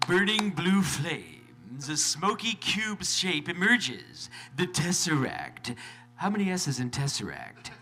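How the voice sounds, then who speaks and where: A man's voice: fairly high pitch, wildly swooping pitch, slow, music in the background. A man narrating stage directions dramatically at a live convention reading, with playful asides. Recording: live convention stage, clear background noise.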